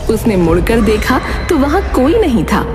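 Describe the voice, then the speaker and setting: A woman's voice: on the high side, very animated, moderate pace. A woman giving scripted, dramatic narration of a cartoon story. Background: music.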